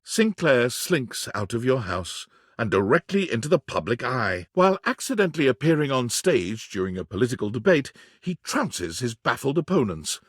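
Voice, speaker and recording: A man playing a deadpan storybook narrator in a comic romance game, reading scripted narration in a measured way. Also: medium pitch, husky, no echo, clean studio sound. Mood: deadpan, dramatic.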